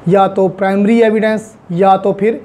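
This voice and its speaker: A woman's voice: mid-range voice, flat delivery, a little slow, mellow. A woman with a Pakistani accent giving an explanatory law lecture in a didactic way, mixing in English technical terms. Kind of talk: explanatory online lecture with everyday examples.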